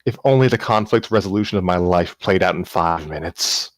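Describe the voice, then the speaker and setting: A man's voice: sits low, brisk, no room sound, lightly acted. A man voicing an anxious, defensive young woman in a fan fiction reading. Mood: wry.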